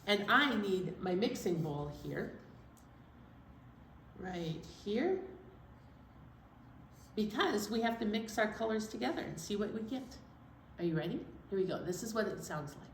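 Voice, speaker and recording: A woman leads story time for children in an echoey room with faint background noise. She is playful, with lively swinging pitch, explaining simply and reciting rhymes. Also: measured pace, long pauses.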